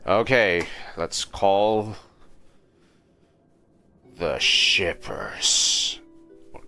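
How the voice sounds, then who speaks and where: Low, slow-talking male game streamer. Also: lively intonation, pushed and bright. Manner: halting, musing aloud. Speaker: game livestreamer. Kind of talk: casual livestream commentary. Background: faint game music.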